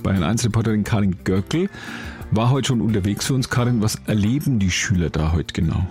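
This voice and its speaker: A man presenting a live radio show from a studio, chatting casually and tossing quick questions to a reporter. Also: lowish voice, faint music behind. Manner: relaxed, conversational, quick questions. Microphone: studio microphone.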